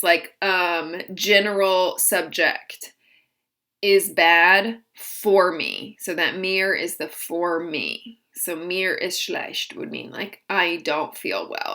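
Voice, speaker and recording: A woman gives a recorded language lesson, sounding out each phrase slowly and clearly before she explains it. Her voice is bright and her pace is unhurried. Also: fairly low pitch. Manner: slow, deliberate modeling of phrases with explanations. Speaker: German teacher.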